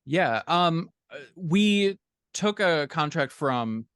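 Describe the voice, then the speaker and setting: A man's voice: on the high side, lively intonation, slow, fairly bright tone, clear, resonant tone, dry recording. A man talking conversationally as a guest in a podcast interview, explaining things in an informal, thoughtful way. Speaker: podcast guest, fiction co-author.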